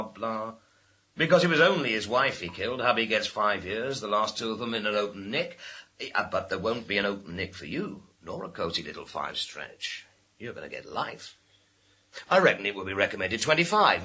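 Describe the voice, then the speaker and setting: Casting: a hectoring, sarcastic detective inspector for radio crime drama, low and rough-edged, forceful. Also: male voice, full character voice, low-quality recording. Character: sardonic, bullying male police inspector under pressure. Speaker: police detective.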